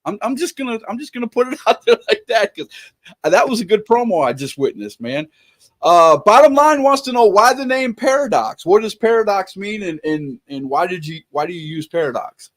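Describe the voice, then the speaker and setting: Man hosting, high voice, animated delivery, enthusiastic, stumbles and repeats. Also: fairly quick. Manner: enthusiastic, repeats words and restarts. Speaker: interview host reading viewer questions. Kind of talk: casual online interview.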